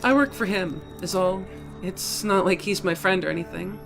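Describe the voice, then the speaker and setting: A woman's voice: medium pitch, normal speed, vocal fry, over music, close to an everyday voice. An actress playing a skeptical, reluctant female assistant in a voiced comic, guarded, hesitant and blunt. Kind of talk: voiced comic audio drama.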